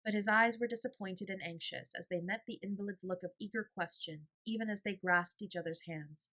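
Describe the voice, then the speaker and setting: A woman's voice: mid-range voice, fairly quick, pushed and bright. A woman reading a bedtime story as scripted audiobook narration.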